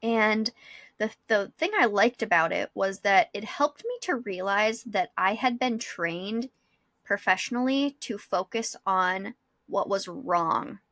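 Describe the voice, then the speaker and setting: A woman podcast host in her thirties talks casually and reflectively. Her voice is bright and forward, recorded very dry. She explains things patiently, restating ideas as she thinks them through. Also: mid-range voice, lively intonation, slow, no echo. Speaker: school psychologist.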